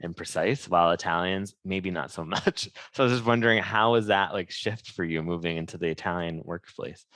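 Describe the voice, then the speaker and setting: Male podcast host, very deep voice, upbeat and friendly, dry close sound. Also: a little fast, hardly any pauses, a bit bright, no echo. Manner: upbeat, friendly, scripted intro. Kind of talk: podcast interviewing.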